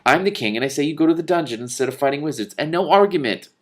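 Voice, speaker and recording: A man voicing a decisive, wry king in a storybook, brisk and commanding. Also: a little high, expressive, pitched above the performer's own voice, close to an everyday voice. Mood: firm.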